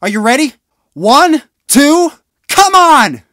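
A woman's voice: high-pitched, very animated, slow pace, fairly bright tone, no room sound, clean studio sound, full character voice. A woman playing a cocky, bossy teenage older brother in a fan audio drama. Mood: urgent.

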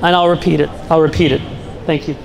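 Man, middle-aged, high voice, earnest talk to students, handheld mic, big gym, long reverb. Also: flat delivery, fast talker, gravelly, crowd noise. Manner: earnest, breaks off and restarts, jokes with the audience. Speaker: guest speaker. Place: large school gym. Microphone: handheld microphone. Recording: long reverb in a large hall. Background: seated student audience.

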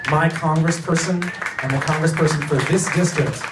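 A man's voice: on the high side, steady tone, moderate pace, distant mic, heavy background noise. A man acting as event host, making a live stage introduction of a guest speaker. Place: small music club, on stage. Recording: echoey club PA from afar, loud crowd.

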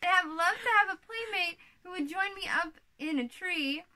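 A woman's voice: extremely high pitch, normal speed, pushed and bright, heavily acted. A young woman playing an exuberant little-sister princess in a children's storybook read-aloud, playful and theatrical. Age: young adult.

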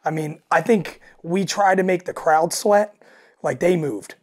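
Raspy man chatting on a podcast. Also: a little high, measured pace. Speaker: guest, alternative country singer and frontman. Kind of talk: casual podcast chat.

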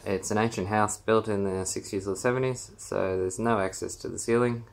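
A man gives a casual, halting walkthrough of his small basement home theater, filming on a handheld phone as he walks. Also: fairly low pitch, fairly even intonation, gravelly. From Australia. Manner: unhurried, halting with many fillers. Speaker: home theater owner giving a room tour. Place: small basement room, indoors. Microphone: handheld phone camera, filming while walking.

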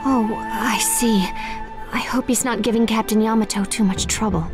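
Woman as young ninja assistant, fairly high, slow, earnest, gentle, anime game, music behind. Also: fairly even intonation, natural voice. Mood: concerned, resolute. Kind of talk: anime game dub acting.

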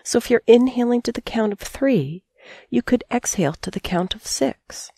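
A middle-aged woman guiding a sleep meditation as a teacher, calm and soothing, speaking in measured phrases with long pauses. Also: fairly high pitch, husky, no echo, clean studio sound. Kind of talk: guided sleep meditation narration.

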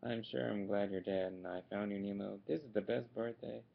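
A man voicing a forgetful, cheerful female fish in a storybook reading, with a gravelly, husky voice and a bubbly, scatterbrained manner. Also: fairly low pitch, steady tone, normal speed, dull audio.

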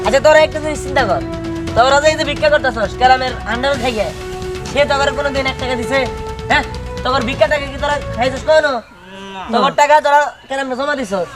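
An actor plays a righteous undercover agent in an amateur drama. His voice is very high for a man, and he talks in a commanding, streetwise way. Music plays behind him. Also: fast talker, lightly acted. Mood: persuading. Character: bold, righteous young undercover CID agent. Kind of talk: amateur Bengali short-film acting.

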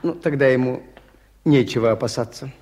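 An actor playing a mild, inquisitive priest detective in a Soviet radio play, with a hesitant, gently questioning manner. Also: male voice, medium pitch, moderate pace, lightly acted. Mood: curious, mild. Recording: noisy 1980s radio play recording.

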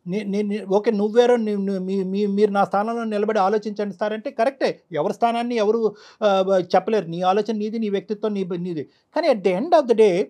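A middle-aged man counselling emotionally, emphatic and forceful, often repeating his phrases. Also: high voice, moderate pace. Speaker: counsellor doctor on the show. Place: studio.

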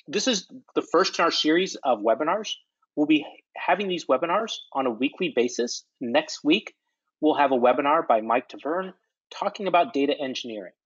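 A man's voice: fairly high pitch, animated, normal speed, a bit bright, slightly rough, no room sound, muffled recording. A man hosting a technical webinar, friendly and lightly joking as he introduces his guest. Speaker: webinar host, data scientist.

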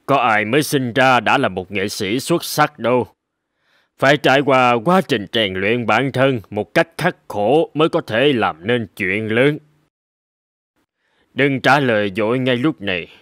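A man voicing a kindly older mentor in a cartoon, speaking in a measured, earnest and encouraging way. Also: medium pitch, a bit bright, no room sound, clean studio sound, lightly acted. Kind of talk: animated cartoon dialogue.

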